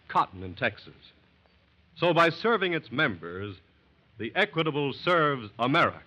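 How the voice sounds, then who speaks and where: A man's voice: a little high, pushed and bright. A man announcing in a formal, stately 1940s radio style, reading a scripted sponsor message. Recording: muffled old radio recording.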